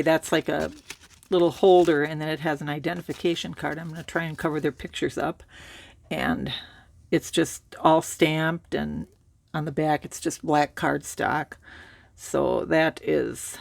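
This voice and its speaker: A woman with a cold and a raspy voice, casually showing a handmade journal and rambling through it with fillers. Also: deep voice, moderate pace, no echo. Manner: relaxed, rambling, with many fillers and restarts. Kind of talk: casual show-and-tell.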